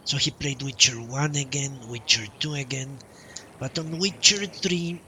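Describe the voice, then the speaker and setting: A man streaming a video game, telling a long story casually in a halting, drawn-out way. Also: medium pitch, pushed and bright, slight background noise, low-quality recording. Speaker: game livestreamer. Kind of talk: casual rambling storytelling while playing a game. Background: video game music.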